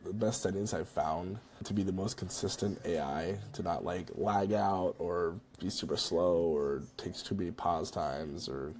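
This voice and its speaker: Deep-voiced, gravelly casual guy presenting a tutorial. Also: measured pace, few pauses, darkish tone. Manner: informal, conversational, checks in with "right?" and "you know". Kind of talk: casual screen-recorded software walkthrough.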